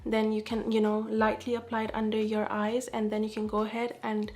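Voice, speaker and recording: A young woman from Dubai, calm and soft-spoken, answering viewer questions in a casual beauty vlog. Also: a little high, brisk. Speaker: beauty vlogger.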